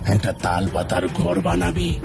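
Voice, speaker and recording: A man playing a stern, commanding demon spirit in an animated fairy tale, speaking threateningly. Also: low voice, a little slow, full character voice. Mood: menacing. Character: stern, powerful male demon spirit dealing out punishment. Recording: loud background music.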